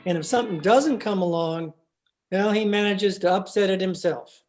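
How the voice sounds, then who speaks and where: A woman's voice: medium pitch, moderate pace, darkish tone, faint music behind. A woman narrating a scripted radio comedy as its announcer.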